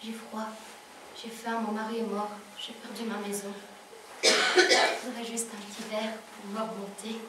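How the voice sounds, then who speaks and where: An actress playing a wistful, fiery, worn-down laundress in a dramatic theatrical monologue. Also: female voice, fairly high pitch, measured pace, pauses often, close to an everyday voice. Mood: desperate, weary. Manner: dramatic storytelling, swinging from hushed to shouted. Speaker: actress performing a stage monologue. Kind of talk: theatrical French monologue with sung passages. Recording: echoey room from far off, faint noise.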